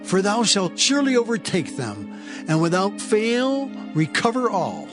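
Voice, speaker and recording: A man's voice: high voice, wildly swooping pitch, a little slow, music in the background, full character voice. A man voicing the Lord God in a dramatized scripture reading, commanding and assured, with emphatic proclamation.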